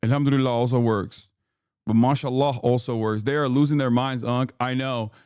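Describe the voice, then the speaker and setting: A man's voice: mid-range voice, steady tone, moderate pace, a little mellow, clean tone, no room sound, clean studio sound. A man in his thirties with a Texan accent, hosting a live stream with hyped, profane, rambling commentary. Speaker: streamer host.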